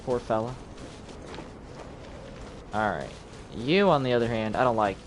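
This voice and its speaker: A man's voice: mid-range voice, measured pace, background noise. A man giving casual gameplay commentary on a livestream, muttering asides to the game and its characters as he plays. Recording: loud game music behind voice. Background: loud video game music.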